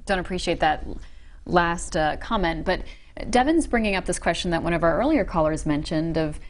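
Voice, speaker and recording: A woman's voice: fairly low pitch, moderate pace, a little hiss or hum. A woman hosting a political call-in show, moderating in a neutral, businesslike way.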